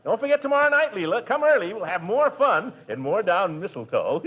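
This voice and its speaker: A blustery pompous middle-aged fella, booming, up and down all over, old dull radio sound. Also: male voice, full character voice. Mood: jovial. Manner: booming, exclamatory, chuckling. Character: blustery jovial middle-aged man-about-town, pompous. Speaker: lead comic character. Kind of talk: 1940s radio comedy acting. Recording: muffled vintage radio broadcast.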